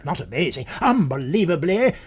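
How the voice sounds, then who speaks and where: Husky, jovial English husband. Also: male voice, fairly high pitch, very animated, normal speed. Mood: tipsy. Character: jovial, hearty English husband, card-playing and boozy. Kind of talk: radio drama acting.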